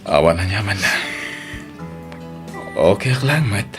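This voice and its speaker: A man's voice: low voice, lively intonation, unhurried. A man playing a sober, sympathetic character in a family audio drama. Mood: tender. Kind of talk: radio-style drama acting. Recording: music bed under the voice.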